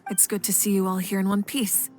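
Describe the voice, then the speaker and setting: A woman's voice: medium pitch, little pitch movement, brisk, darkish tone, soft background music, natural voice. A woman playing a composed, commanding female leader in a dramatic video game.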